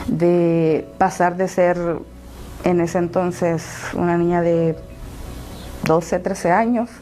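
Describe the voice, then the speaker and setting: A woman in her thirties with a Salvadoran accent, giving personal testimony in an interview and pausing between phrases. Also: lowish voice, mellow, poor audio. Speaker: interviewee telling her own story.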